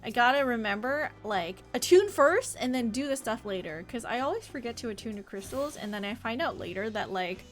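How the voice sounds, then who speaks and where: A chatty, expressive woman livestreaming a game in a casual, rambling way and talking to her viewers. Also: fairly high pitch, fairly quick, hardly any pauses, soft background music. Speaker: live game streamer. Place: indoor home office.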